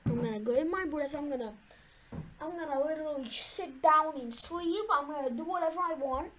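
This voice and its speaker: A woman's voice: high voice, normal speed, a bit bright, a little hiss or hum. A woman giving nervous, jumpy commentary to her viewers while playing a horror game. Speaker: gaming YouTuber.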